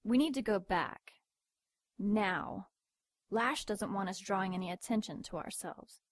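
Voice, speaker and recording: A woman's voice: mid-range voice, measured pace, no echo, natural voice. A woman playing a stern, dutiful demon in an audio drama, curt and commanding. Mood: stern, urgent. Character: stern, dutiful female demon keeping her sister in line.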